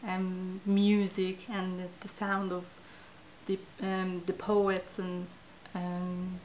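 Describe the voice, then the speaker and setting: Iranian woman in her 30s, persian accent, thinks out loud with lots of ums, studio interview, a little room hiss. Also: fairly low pitch, monotone, slow pace, poor audio. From Iran. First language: Farsi. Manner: hesitant, searching for words, many fillers. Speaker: interviewee, language project participant. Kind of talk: reflective interview talk to camera.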